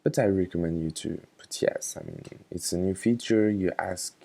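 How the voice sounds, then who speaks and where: A man casually narrating a software tutorial, talking informally and a little hesitantly as he instructs. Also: low-pitched, moderate pace, creaky.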